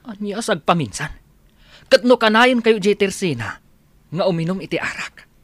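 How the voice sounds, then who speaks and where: Exasperated, scolding grown son. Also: male voice, high voice, big pitch swings, moderate pace, a bit bright, dry recording. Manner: scolding, emphatic. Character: exasperated grown policeman son scolding his drunkard father. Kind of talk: Ilocano radio comedy drama acting.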